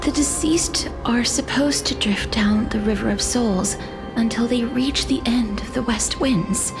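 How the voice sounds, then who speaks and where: A woman playing a gentle, melancholy young maiden in a video game, speaking softly and hesitantly. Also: on the high side, unhurried, music in the background. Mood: hushed, apprehensive. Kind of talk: dramatic video game acting.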